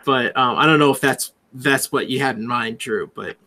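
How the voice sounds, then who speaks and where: A man speaking casually and haltingly as an actor on a discussion panel, often repeating himself. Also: mid-range voice, bright, forceful tone. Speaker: audio drama actor on a panel.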